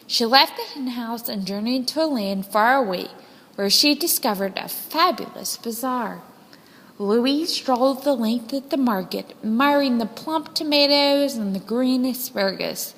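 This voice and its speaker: High-voiced woman reading a storybook, reverberant. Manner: expressive, deliberate phrasing. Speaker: storybook reader. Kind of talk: scripted children's story narration. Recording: long hall-like reverb.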